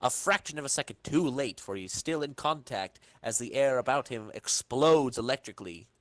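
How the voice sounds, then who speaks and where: A man's voice: medium pitch, expressive, moderate pace, fairly bright tone, no room sound. A man narrating a comic book in a dramatic reading as a podcast host.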